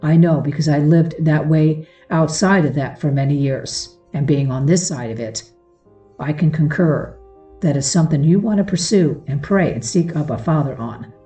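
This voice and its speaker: Low-voiced woman in an echoey room. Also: moderate pace, mellow, soft background music. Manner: earnest, affectionate address to listeners. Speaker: host of a religious teaching show. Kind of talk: informal devotional talk to followers. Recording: roomy echo, far from mic.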